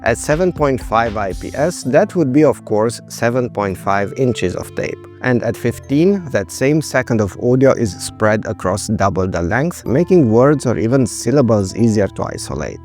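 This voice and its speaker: Male presenter, 30s-40s, mid-pitched, scripted explainer read, measured and precise, music behind. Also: expressive, darkish tone. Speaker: video presenter.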